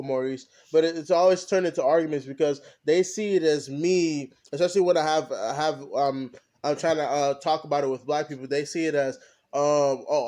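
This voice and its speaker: A young man co-hosting a podcast, talking casually and haltingly, often stopping to search for his words. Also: on the high side, a little mellow. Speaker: podcast co-host. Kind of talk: casual discussion.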